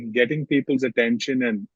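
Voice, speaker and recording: A man hosting an interview, asking questions in a polite, measured, formal way. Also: medium pitch, steady tone, gravelly, slightly rough, no echo, lo-fi sound. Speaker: interview host.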